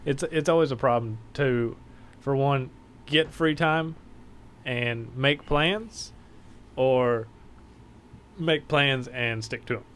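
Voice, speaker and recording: A man guests on a podcast, keeping things friendly and easygoing as he banters with the hosts. His voice sits at an ordinary middle pitch. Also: slow pace, long pauses, a bit bright, a little hiss or hum. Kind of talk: casual chat.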